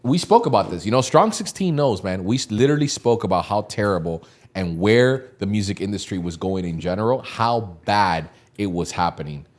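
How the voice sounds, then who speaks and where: A man's voice: on the low side, expressive, brisk, few pauses. A man in his thirties telling stories in casual podcast chat, laid-back and slangy, laughing often. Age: 30s. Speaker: podcast co-host.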